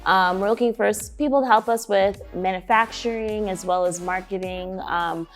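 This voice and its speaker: A woman's voice: mid-range voice, moderate pace, faint music behind. A young woman from Nigeria, a shoe brand co-founder, answering interview questions in an earnest, emphatic way.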